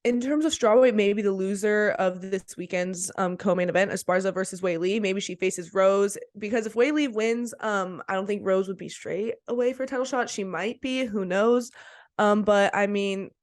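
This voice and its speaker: A woman's voice: mid-range voice, normal speed, no echo. A woman with a slight cold co-hosting a podcast, giving casual, slangy and enthusiastic fight analysis close to the mic. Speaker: podcast co-host.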